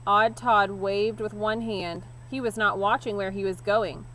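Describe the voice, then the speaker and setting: A woman teacher reading a children's story aloud expressively, leaning deliberately on key words. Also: medium pitch, fairly even intonation, a bit bright, poor audio. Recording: clear background noise.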